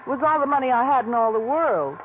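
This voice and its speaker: An actress playing a coaxing old flame in a 1940s radio detective drama. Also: female voice, high voice, animated, quick pace. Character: coaxing female old flame, noir femme fatale. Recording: muffled, slightly noisy vintage radio recording.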